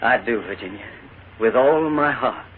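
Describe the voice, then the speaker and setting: An actor playing a modest, earnest, hesitant young army lieutenant in a 1940s radio western. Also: male voice, mid-range voice, animated, normal speed, lightly acted. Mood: heartfelt, humble. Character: humble, heartfelt disgraced young army lieutenant. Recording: tinny 1940s radio broadcast, faint noise.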